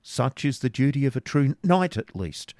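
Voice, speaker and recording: A man voicing a dignified, wary knight who speaks measured, formal old-fashioned English in a storybook reading. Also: medium pitch, big pitch swings, darkish tone, no echo, studio quality, voice pushed up. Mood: courteous, guarded. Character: dignified, courteous, wary male medieval knight.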